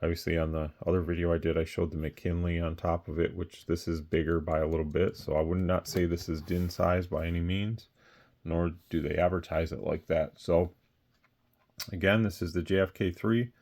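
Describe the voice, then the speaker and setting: Guy w a low flat voice just rambling thru a product rundown, plain talk, nothing scripted. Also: moderate pace. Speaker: radio seller presenting a product. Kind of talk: casual off-the-cuff product talk.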